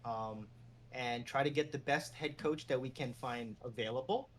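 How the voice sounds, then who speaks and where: A man from New York City, a former team general manager and agent, talking as a guest in a relaxed, meandering way with the odd chuckle. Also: medium pitch, moderate pace, slight background noise. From New York City, USA. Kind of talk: casual interview conversation.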